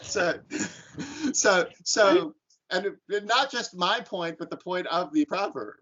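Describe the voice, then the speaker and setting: A man's voice: high-pitched, slow pace, sharp tone, lo-fi sound. A man instructor teaching an online class and leading discussion, talking thoughtfully with frequent restarts and ums.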